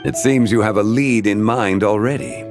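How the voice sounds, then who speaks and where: Deep, solemn immortal guardian, played by a man. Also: lightly acted. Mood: composed. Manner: measured, formal, grave. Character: solemn, formal, ancient immortal male guardian. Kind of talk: dramatic game voice acting. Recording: faint music behind the voice. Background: game music.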